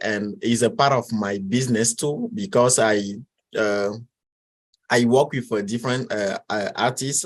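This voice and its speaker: A man in his twenties from Togo with a Togolese French accent, answering interview questions in a halting way, with many fillers and restarts. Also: on the low side. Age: 20s. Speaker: guest.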